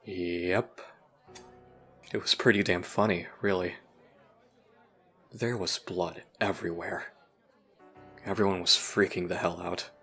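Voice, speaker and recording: A man's voice: sits low, steady tone, slow pace, pauses often, bright, forceful tone, faint music behind, a faint crowd, full character voice. A man acting in an audio roleplay as a jaded, sardonic human youth trapped in a time loop, talking conspiratorially and swearing casually.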